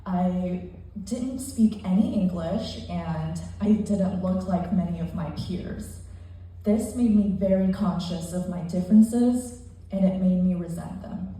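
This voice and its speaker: A young woman delivers an emphatic motivational talk from a stage, her tone mellow and dark. The sound is heavily echoey, picked up from far off across a large hall. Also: fairly low pitch, slow. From United States, immigrated at seven. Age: young adult. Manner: earnest, emphatic, builds to rhetorical lists. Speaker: conference speaker. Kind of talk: rehearsed motivational speech.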